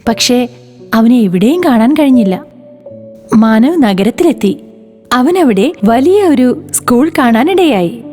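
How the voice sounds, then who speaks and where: A woman's voice: on the high side, animated, slow, pauses often, dark tone, faint music behind. A woman narrating a scripted children's moral story.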